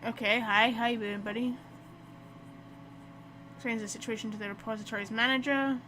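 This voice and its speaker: High-voiced woman chatting through a game. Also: fairly even intonation, moderate pace, pushed and bright, a little hiss or hum, poor audio. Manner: chatty, reacting to the game and talking to herself. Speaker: gaming streamer. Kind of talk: casual playthrough commentary.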